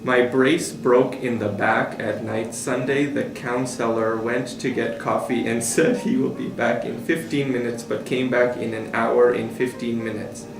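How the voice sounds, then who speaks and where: A man's voice: mid-range voice, steady tone, unhurried, few pauses. A man reading a child's camp letter aloud, stumbling a little over the written words. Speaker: staff member reading aloud. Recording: echoey room, distant mic, music behind. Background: guitar music.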